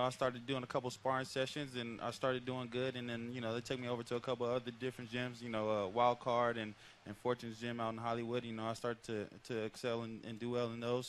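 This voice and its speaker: Fast-talking man with a headset mic. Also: mid-range voice, a little hiss or hum. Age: 30s. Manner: relaxed, rambling with many fillers. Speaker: guest, professional boxer. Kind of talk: casual interview talk. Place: outdoor broadcast set.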